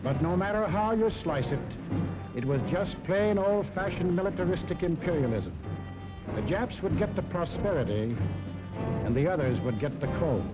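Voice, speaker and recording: A man's voice: high-pitched, lively intonation, normal speed. An American man narrating a scripted 1940s propaganda film in an emphatic, dramatic way. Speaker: documentary narrator. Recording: dull 1940s film soundtrack, loud music.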